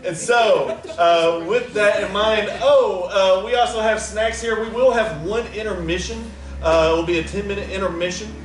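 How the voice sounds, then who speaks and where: A high-pitched man with a drawl speaks to a live theater audience, loose and chatty, laughing between his notes. He sounds far from the mic, caught in a very echoey room with noise underneath. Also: normal speed, few pauses. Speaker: producer introducing a table reading. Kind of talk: live casual announcements to an audience.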